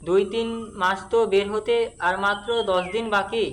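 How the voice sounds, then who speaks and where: A woman voicing a patient husband in a cartoon story, advising in measured, instructive short phrases. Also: medium pitch, fairly even intonation, a little hiss or hum, natural voice. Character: husband patiently advising his two rival wives. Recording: music behind the voice.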